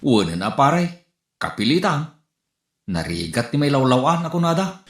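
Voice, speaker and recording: A man's voice: mid-range voice, very animated, normal speed, roomy sound, lightly acted. A man with an Ilocano accent playing a flustered, boastful married man who stammers and hesitates. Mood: rueful. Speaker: actor in a comedy skit. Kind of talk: comedy skit acting.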